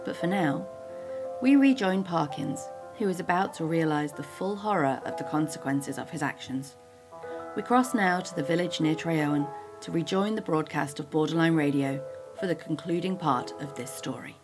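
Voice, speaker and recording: A woman presents a scripted podcast introduction as its host. The pitch is a little low for a woman, and music plays clearly behind the voice. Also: normal speed. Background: clear background music.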